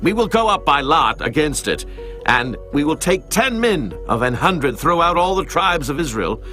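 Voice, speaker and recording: A man's voice: on the high side, very animated, moderate pace, bright, forceful tone, soft background music. An actor playing a resolute, outraged Israelite man rallying his people in a scripture drama. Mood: resolute outrage.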